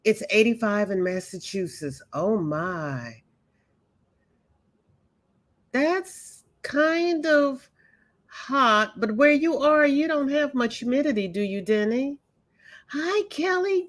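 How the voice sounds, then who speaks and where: A woman hosting a crochet livestream, chatting casually and greeting viewers by name as their comments come in. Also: high voice. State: voice may be weak, hoping it holds up. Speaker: crochet channel host greeting livestream chat.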